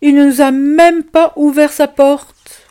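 A woman voicing an indignant orphan child in a storybook, complaining loudly. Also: sits high, fairly even intonation, slow, pitched above the performer's own voice. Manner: loud and complaining.

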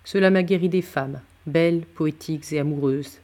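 A mellow-voiced, sombre nobleman musketeer from an adventure novel, acted out by a male reader. He is worldly and hard-drinking, with a bitter, sardonic edge and an abrupt way of talking. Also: mid-range voice, moderate pace, close to an everyday voice. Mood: grim, sardonic.

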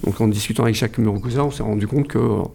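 A man's voice: lowish voice, very fast, gravelly, warm tone, husky. A middle-aged man from France, a kung fu club president, speaking hesitantly in a casual radio interview, with many fillers and restarts.

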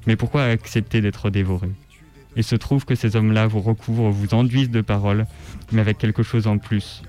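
A man reading a written text aloud on the radio in a measured, articulate, declamatory way. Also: fairly low pitch, fairly even intonation, dark tone, faint music behind. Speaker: radio reader. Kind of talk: scripted literary reading aloud.

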